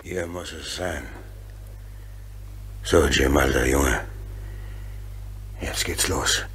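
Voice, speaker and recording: A very low, unhurried grown man's voice, gruff and fatherly, acted by a man as a calm engine driver. It belongs to a storybook radio play and sits in clear background noise and hum. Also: exaggerated voice. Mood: calm, resolute.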